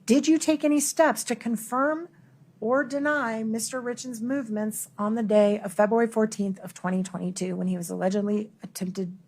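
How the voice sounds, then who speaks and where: A woman's voice: fairly high pitch, fairly even intonation, normal speed, a little mellow, slightly rough. A woman lawyer questioning a witness in a courtroom, formal, pressing and insistent. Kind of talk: courtroom cross-examination.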